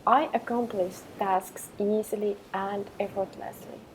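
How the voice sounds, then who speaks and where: A woman leading a guided meditation with affirmations, speaking in a calm, gentle, measured way with long pauses. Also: mid-range voice, a little hiss or hum, rough recording.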